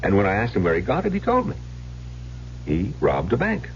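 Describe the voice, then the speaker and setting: An actor playing an educated middle-aged husband, speaking in a measured, confessional, theatrical way in an old-time radio drama. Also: male voice, fairly low pitch, wildly swooping pitch. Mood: grave, reminiscing. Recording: dull, noisy old radio recording.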